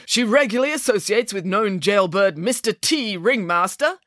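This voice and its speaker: A woman's voice: mid-range voice, animated, moderate pace, a bit bright, no room sound, clean studio sound, pitched above the performer's own voice, close to an everyday voice. A woman voicing a smug, self-important male politician in a children's storybook, proclaiming accusations.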